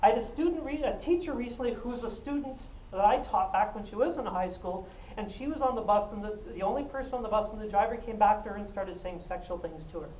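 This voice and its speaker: A woman giving a self-defense talk, animated and jokey, often laughing and acting out what people said. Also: medium pitch, fast talker. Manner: animated, jokey, laughs a lot, acts out quotes. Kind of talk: lively storytelling talk to a class. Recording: echoey room, mic at a distance, faint noise.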